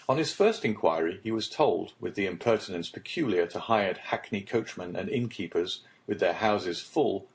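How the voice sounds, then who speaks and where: A man reading literary narration as an audiobook narrator, in a formal and measured storytelling manner. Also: lowish voice.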